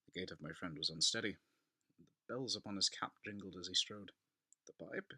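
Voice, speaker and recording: A man giving a theatrical, deliberate dramatic reading of a classic gothic story as narrator. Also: low-pitched, bright, forceful tone, no room sound.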